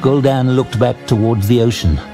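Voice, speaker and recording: A man narrating a scripted fantasy tale dramatically, with a measured, grave storytelling manner. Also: medium pitch, over music.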